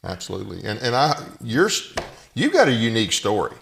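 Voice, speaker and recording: A man hosting a casual interview, informal and a little halting, often affirming his guest. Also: lowish voice, wildly swooping pitch, gravelly, fairly bright tone, slight background noise. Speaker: interview host.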